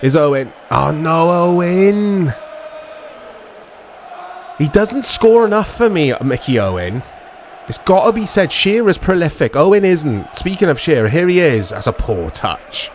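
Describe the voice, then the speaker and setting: A man giving casual commentary on a football video game in short reactive fragments, his voice strained and a little hoarse. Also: a little high, sing-song, measured pace, a faint crowd, slight background noise. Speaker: gaming channel host.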